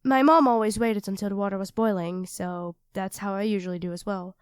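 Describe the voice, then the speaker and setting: A woman's voice: medium pitch, fairly even intonation, a little fast, smooth, no echo, clean studio sound, lightly acted. A woman playing a sweet, self-doubting young girlfriend in an intimate ASMR roleplay, speaking hesitantly and earnestly to her partner. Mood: gentle, thoughtful. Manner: hesitant, soft-spoken, earnest, addressing a partner directly.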